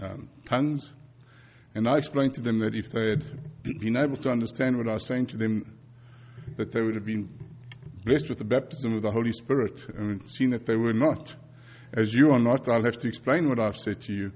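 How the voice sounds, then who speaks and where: A man's voice: mid-range voice, darkish tone, lo-fi sound. Earnest South African preacher in his fifties. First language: Afrikaans. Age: 50s. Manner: earnest, repeats phrases, frequent restarts. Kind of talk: sermon and mission report.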